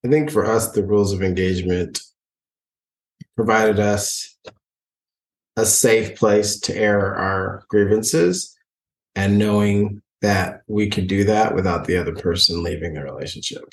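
A man co-hosting a relationship podcast, speaking reflectively and haltingly with frequent restarts. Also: fairly low pitch, echoey room, distant mic, rough recording. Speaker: podcast co-host. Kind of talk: casual couple chat.

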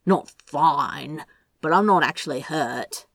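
A woman's voice: on the low side, lively intonation, unhurried, pushed and bright, no room sound, heavily acted. A woman voicing a tired, blunt young man who swears and speaks plainly. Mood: weary, blunt.

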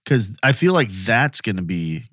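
A man's voice: on the low side, sing-song, a little slow, a bit bright, slightly rough, no echo, studio quality. A man co-hosting a casual talk show, informal and inquisitive, often asking questions to clarify. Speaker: podcast co-host.

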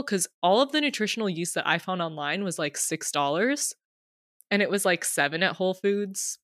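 A young woman with a slightly stuffy nose from a cold chats casually as a podcast co-host, turning often to address her listeners. Her voice sits in an ordinary middle pitch. It was recorded close and dry, with no room sound. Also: pushed and bright, no room sound. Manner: chatty, addresses listeners directly. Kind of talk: casual chat.

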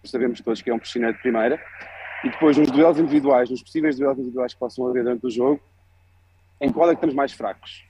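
A man's voice: medium pitch, animated, fast talker, vocal fry, mellow, slightly rough, dry recording. A man from Lisbon with a European Portuguese accent, calling in to politely put his question to a panel over a video call. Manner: polite, earnest, direct. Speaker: caller. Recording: dull lo-fi call line.

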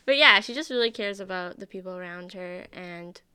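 Bright, clear young Canadian woman. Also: on the low side, fairly quick, no room sound. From Vancouver, Canada. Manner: informal, youthful slang. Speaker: podcast co-host. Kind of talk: casual, giggly chat between friends.

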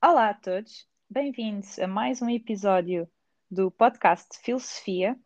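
A woman's voice: mid-range voice, a little slow, a little mellow, dry recording, lo-fi sound, faint music behind. A woman with a Lisbon Portuguese accent hosting a podcast, chatting casually with a guest and laughing often. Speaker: podcast host. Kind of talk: casual conversational interview. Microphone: remote recording.

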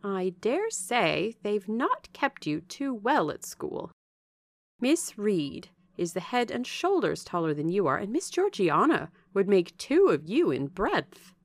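A woman voicing a chatty, warm-hearted young married servant woman in a storybook, with a lively, familiar, gossiping manner. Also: medium pitch, takes it slowly, no room sound, exaggerated voice. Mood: gossipy. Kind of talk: storybook character dialogue.

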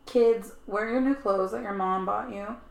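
A woman's voice: mid-range voice, normal speed, gravelly, echoey room. A woman co-hosting a podcast, telling stories in a chatty, casual way with lots of "like". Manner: chatty storytelling, frequent "like". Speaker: podcast co-host. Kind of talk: casual chat.

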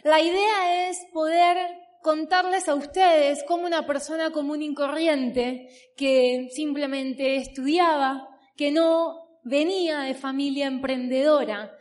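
High-voiced Argentine woman in her thirties. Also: unhurried. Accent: Argentine, Buenos Aires area. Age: 30s. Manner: emphatic, builds long repeating lists. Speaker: motivational speaker at a business event. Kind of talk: personal story told from a stage. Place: stage before a live audience.

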